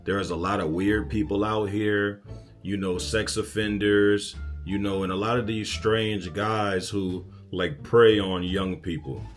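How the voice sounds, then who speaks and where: A man's voice: on the low side, fairly even intonation, measured pace, soft background music. A man hosting a reaction video, giving casual, slangy commentary in an emphatic, conversational way.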